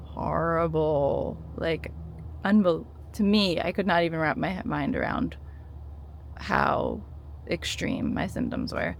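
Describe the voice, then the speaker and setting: Woman in her thirties, earnest encouraging vlog talk, gravelly voice, lowish pitch. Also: a little hiss or hum. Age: 30s. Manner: earnest and encouraging, with many fillers and restarts. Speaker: vlogger sharing her withdrawal experience. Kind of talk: casual talk to camera.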